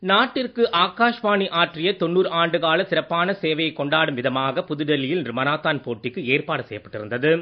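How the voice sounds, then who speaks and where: A male newsreader with an Indian Tamil accent delivers a formal radio bulletin. His voice sits fairly high for a man. The recording is a little dull and lo-fi. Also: brisk.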